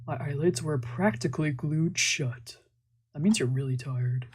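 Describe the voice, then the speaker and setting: A man streaming a visual novel, reading its narration and translating the dialogue in a laid-back, quiet way. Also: a little high, measured pace, slightly rough. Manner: laid-back, quiet, drifting into murmured asides.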